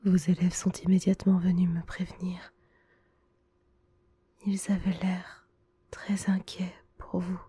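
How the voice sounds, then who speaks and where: A gentle male school nurse, played by a woman, soothes a patient in a very breathy ASMR whisper. The voice is slow and mellow, with an even, hushed melody and a reassuring, attentive manner. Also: lowish voice, no room sound, full character voice. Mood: soothing. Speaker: ASMR roleplay performer. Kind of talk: whispered French ASMR roleplay.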